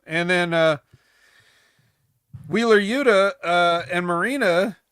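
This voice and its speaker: A man's voice: high voice, takes it slowly, a bit bright, clean tone, no room sound, clean studio sound. A man hosting a casual podcast, recapping in a rambling, wry way full of ums. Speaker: host.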